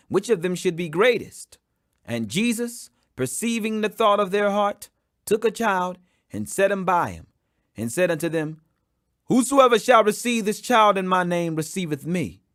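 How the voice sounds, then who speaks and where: Guy reading the bible out loud, high voice that swings all over, pushes hard on the quotes. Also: no room sound, clean studio sound. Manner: emphatic, rising on quoted speech. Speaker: Bible reader. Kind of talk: scripture reading aloud.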